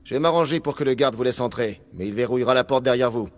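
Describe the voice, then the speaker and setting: An actor playing a weary, gruff security captain in a video game, speaking in a blunt, dry, colloquial way. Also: male voice, medium pitch, fast talker, close to an everyday voice. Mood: blunt, reluctant. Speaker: guard captain.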